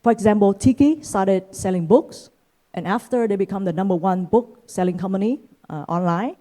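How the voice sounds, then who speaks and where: A woman's voice: medium pitch, mellow. A woman in her thirties from Vietnam, giving a conference keynote talk with frequent "uh" fillers. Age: 30s. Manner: frequent "uh" fillers, measured delivery. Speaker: keynote speaker, venture capitalist. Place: conference stage.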